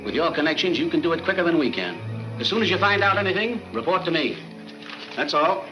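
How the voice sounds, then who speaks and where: An actor playing a firm, businesslike police chief in a 1940s movie serial. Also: male voice, on the high side, animated, fast talker, lightly acted, low-quality recording. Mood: firm, persuasive. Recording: old film soundtrack with music.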